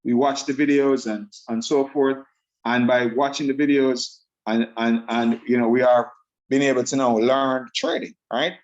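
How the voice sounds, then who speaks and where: A man with a Jamaican accent giving an enthusiastic, fast-talking sales pitch on a video call, repeating himself for emphasis. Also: flat delivery, darkish tone, dull audio. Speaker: online sales presenter.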